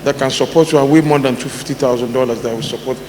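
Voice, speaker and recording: A man in the audience giving advice, halting, with restarts and uhs. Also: medium pitch, steady tone. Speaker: audience member. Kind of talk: audience comment at a summit. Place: large conference hall. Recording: echoey hall with faint room noise.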